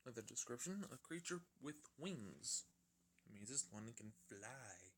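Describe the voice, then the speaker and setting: A man's voice: mid-range voice, sing-song, a little slow. A man narrating a fan fiction story in an amateur style, often stumbling and rereading his lines.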